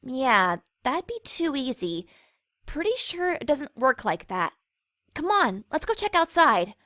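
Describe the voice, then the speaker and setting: A woman's voice: high-pitched, big pitch swings, unhurried, no room sound, clean studio sound, heavily acted. A woman voicing an earnest, skeptical young female unicorn pony in a cartoon audio drama, with a blunt, exasperated manner. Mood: puzzled. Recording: very noisy track, loud crowd ambience.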